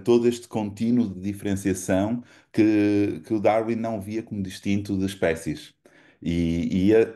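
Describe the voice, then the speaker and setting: A middle-aged man from Portugal with a European Portuguese accent, giving an academic seminar lecture in a measured, explanatory way with frequent fillers and restarts. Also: low voice, dark tone. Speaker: guest lecturer.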